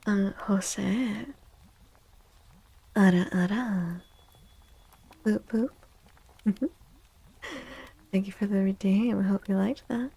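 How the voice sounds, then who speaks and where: Slow, husky, soft-spoken ASMR woman. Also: medium pitch, fairly even intonation, no room sound. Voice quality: soft, breathy, husky, often near whisper. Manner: gentle, playful, repeats words and phrases softly. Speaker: ASMR streamer, tarot reader. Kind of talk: soft-spoken ASMR livestream, chatting with viewers.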